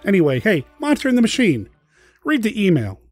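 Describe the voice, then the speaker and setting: Excited male podcast host, slightly high voice. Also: a little fast, soft background music. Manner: informal, excited, direct address. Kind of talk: casual enthusiastic chat to listeners.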